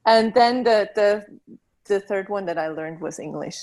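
A woman from Switzerland, a native Swiss German speaker with a Swiss German accent, talking as a guest in a relaxed interview and pausing now and then to find the right word. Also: medium pitch. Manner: thoughtful, searches for words. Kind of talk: casual interview conversation. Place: remote from her home.

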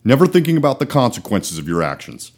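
A man playing a gruff, blunt middle-aged father in a comedy sketch, grumbling and scolding. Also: mid-range voice, wildly swooping pitch, quick pace, darkish tone. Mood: angry scolding.

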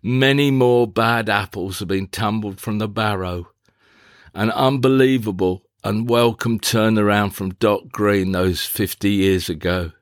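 Man, slow deliberate delivery, formal phrasing, reflective scripted diary narration, dry close sound. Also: on the low side, no echo. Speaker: diarist, playwright.

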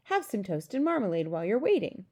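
A woman voicing a regal, composed queen who gives brisk, polite commands. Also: mid-range voice, sing-song, a little mellow, slightly rough. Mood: commanding.

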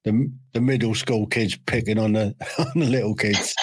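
A man's voice: fairly low pitch, fairly even intonation, moderate pace, husky, dry recording. A man, interviewing someone and often repeating words as he works out his questions. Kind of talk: casual conversational interview.

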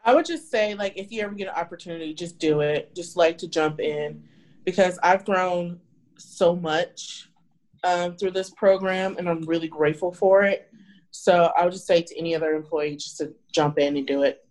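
Woman, lowish voice, relaxed, casual guest. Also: normal speed, poor audio. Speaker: guest employee. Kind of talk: casual studio chat.

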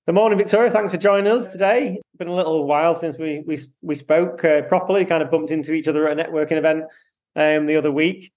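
Male host, slightly higher voice, friendly and halting with restarts. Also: steady tone, a little mellow, muffled recording. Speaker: podcast host. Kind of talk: interview hosting.

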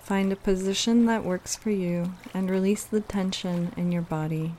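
A woman leading a guided meditation, giving soothing, unhurried instructions with pauses. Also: medium pitch, fairly even intonation, mellow, a little hiss or hum.

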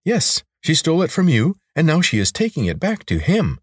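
A man performs a little male lizard servant for an audiobook. The voice is pitched up and very lively, eager and fretful. It speaks with formal, humble deference to its master, recorded close and dry. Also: moderate pace, slightly rough, no room sound, heavily acted. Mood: earnest, indignant. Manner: formal, deferential phrasing addressing a master as sir. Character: small eager loyal male lizard creature, servile and fretful. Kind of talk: audiobook character voice.

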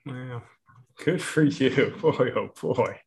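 A man hosting an interview, asking slow questions and giving encouraging short replies. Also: mid-range voice. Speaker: host.

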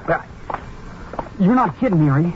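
Gullible young car thief, high boyish voice that jumps all over, old radio crime show. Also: male voice, lively intonation, moderate pace. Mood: nervous, suspicious. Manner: jumpy, eager, boyish, slangy. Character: excitable, gullible young male small-time car thief. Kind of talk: 1950s radio western crime drama acting. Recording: dull, faintly noisy old-time radio recording.

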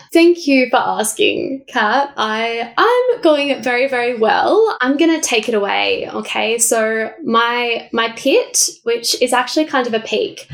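A woman's voice: fairly high pitch, creaky. A woman co-hosting a psychology podcast, chatting and telling stories with lots of laughter. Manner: giggly, animated storyteller. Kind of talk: casual chat.

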